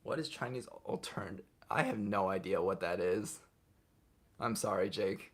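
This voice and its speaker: A young man hosting a casual livestream, reading viewers' comments aloud and answering them off the cuff in a relaxed way. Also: on the low side, normal speed. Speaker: livestream host answering chat.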